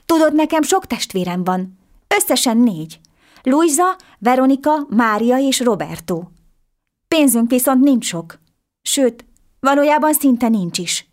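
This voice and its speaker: A woman's voice: big pitch swings, darkish tone. A woman voicing an earnest, eager poor little boy in a storybook tale, asking questions and pleading. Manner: high, pleading, quick questions.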